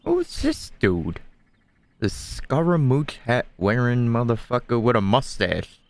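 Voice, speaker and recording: A man's voice: medium pitch, big pitch swings, slow pace. A man giving casual, joking, sometimes profane commentary while playing a video game. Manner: laid-back, joking, profane. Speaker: game streamer.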